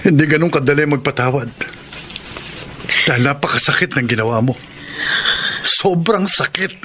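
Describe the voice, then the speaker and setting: A man's voice: on the high side, normal speed, background noise, natural voice. A man acting a wounded, bitter betrayed husband in a Filipino radio drama, accusing and melodramatic. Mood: bitter anger.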